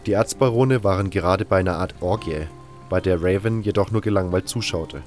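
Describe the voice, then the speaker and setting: A man's voice: sits low, brisk, darkish tone, natural voice. A man playing a wary camp member who narrates his own story in a German-language fan audio drama, with music underneath. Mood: guarded, reflective. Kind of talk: first-person audio drama narration with dialogue.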